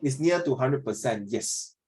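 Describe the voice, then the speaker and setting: A Singaporean man in his fifties leads an informal class discussion at a middling pitch. He talks like a teacher thinking aloud, repeating phrases and tagging his sentences with questions and small particles. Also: lively intonation, unhurried, a little mellow, slightly rough. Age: 50s.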